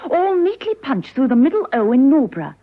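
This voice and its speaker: An actress playing a brisk, sharp-witted woman secret agent in a classic spy radio drama, teasing and confident. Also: high voice, very animated. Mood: keen, deducing. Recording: muffled old radio broadcast recording.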